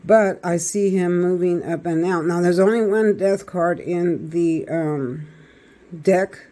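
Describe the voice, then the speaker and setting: A man with a raspy voice doing a chatty tarot reading, talking straight to his viewers. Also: high-pitched, takes it slowly, mellow. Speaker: tarot reader.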